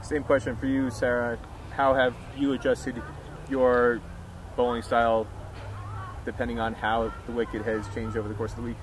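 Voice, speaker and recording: A man interviewing athletes after a match, putting questions in measured, deliberate phrases, recorded outdoors. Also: mid-range voice, level tone. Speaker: sports interviewer. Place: outdoor sports ground. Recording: outdoor background noise.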